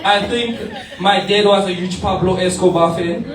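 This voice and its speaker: A man's voice: sits high, fairly even intonation, moderate pace, far from the mic. A young man from Namibia telling stand-up stories on stage, often laughing through his own lines and repeating himself. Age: young adult. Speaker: stand-up comedian. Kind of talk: storytelling stand-up comedy. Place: bar stage before a live audience. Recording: echoey bar, heard from afar, loud crowd.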